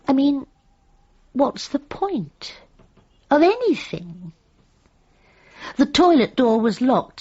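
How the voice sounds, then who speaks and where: An actress playing a forlorn, newly bereaved widow in a comic radio drama, plaintive, hesitant and understated, with a husky voice. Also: female voice, mid-range voice, a little mellow, highs cut off, heavily acted.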